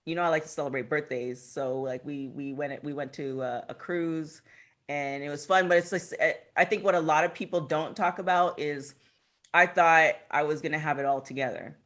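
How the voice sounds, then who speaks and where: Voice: mid-range voice, fairly even intonation, normal speed, fairly bright tone. A man in his thirties co-hosting a podcast, talking in a rambling way with lots of fillers. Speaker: podcast co-host. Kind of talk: casual podcast chat.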